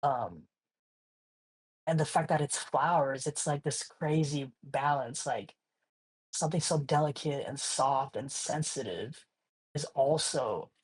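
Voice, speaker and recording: A man's voice: medium pitch, slow, slightly rough, rough recording. A young man from Hawaii, a guest artist in a casual interview, talking in a laid-back, slangy way full of "like" and "you know".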